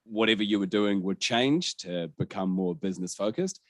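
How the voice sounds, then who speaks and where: A man's voice: fairly low pitch, moderate pace, no room sound. A man hosting a gaming podcast, talking through the news in a candid, sweary, conversational way. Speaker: podcast host.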